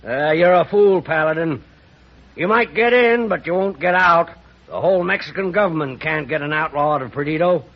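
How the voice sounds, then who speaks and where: An actor playing a scornful, wounded American rancher in a radio Western, with gravelly, folksy, blustering speech. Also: male voice, a little high, normal speed, lightly acted. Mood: grudging, bitter. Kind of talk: old-time radio Western acting. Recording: muffled vintage radio recording.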